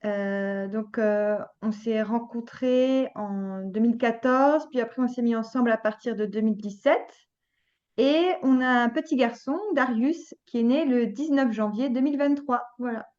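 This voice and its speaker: A woman in her thirties, a podcast guest, tells her own story in a slightly high voice, pausing and restarting often. Her audio comes through a dull, lo-fi remote line. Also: a little mellow. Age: 30s. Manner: hesitant, many fillers and restarts. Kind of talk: personal story, casual chat.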